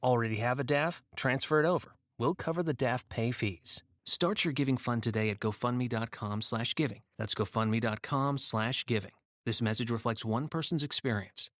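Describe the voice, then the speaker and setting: A man's voice: mid-range voice, moderate pace, hardly any pauses, fairly bright tone, no echo, clean studio sound. A man reading a scripted radio advertisement as the announcer.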